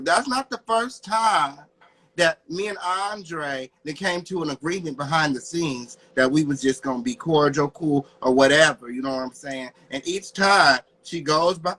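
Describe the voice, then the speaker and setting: A man's voice: on the high side, pushed and bright, rough recording. An American man in casual, gossipy confrontation, sassy and slangy, in the role of a talk host. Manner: sassy, slangy, addresses listener as girl.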